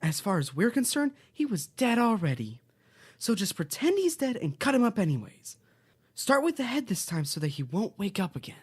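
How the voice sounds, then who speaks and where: A woman's voice: medium pitch, big pitch swings, moderate pace, slightly rough. A woman playing a cheerful, bossy children's-show host in an audio drama, giving instructions in an upbeat, reassuring way. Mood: firm, persuasive. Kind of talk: audio drama, parody of educational kids' show.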